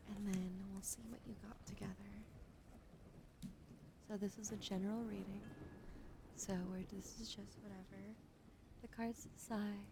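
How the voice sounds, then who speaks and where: A young woman streamer, chatting softly in an ASMR style and often slipping into whispers while giving tarot readings on a close microphone. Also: on the high side, noisy background. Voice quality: gravelly fry, often whispered. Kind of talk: casual ASMR chat, soft-spoken.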